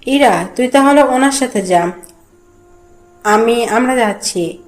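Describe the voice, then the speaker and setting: Woman acting as nosy college friend in a drama, bit high pitched. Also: animated, slow, soft background music. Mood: curious. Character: curious young female college friend.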